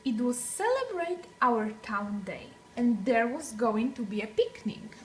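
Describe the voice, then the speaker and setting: Slow, high-voiced woman reading a storybook. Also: very animated, slight background noise, low-quality recording. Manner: deliberate, dramatic phrasing with emphasized words. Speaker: children's story reader. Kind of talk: expressive picture-book read-aloud for young children.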